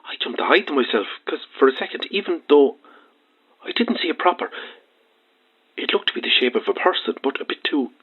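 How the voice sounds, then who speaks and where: Frightened Irish trucker on a tinny phone. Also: male voice, a little high, lively intonation, slightly rough. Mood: haunted, hushed. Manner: halting, stammering, rambling colloquial storytelling. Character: frightened, agitated male truck driver calling late-night radio. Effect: phone line. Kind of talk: audio drama acting. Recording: muffled, tinny phone line.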